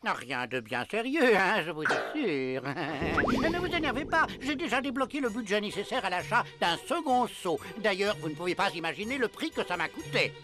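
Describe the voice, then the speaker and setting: An actor playing a penny-pinching older headmaster of a cartoon ninja school, pompous, hesitant and wheedling. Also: male voice, high voice, sing-song, moderate pace, hardly any pauses, music in the background. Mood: evasive, stingy. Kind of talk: French cartoon dubbing.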